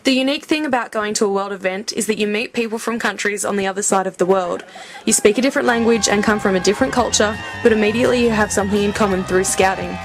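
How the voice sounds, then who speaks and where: A woman's voice: medium pitch, fast, faint music behind. A woman reporter reading an upbeat, scripted voice-over for a news piece.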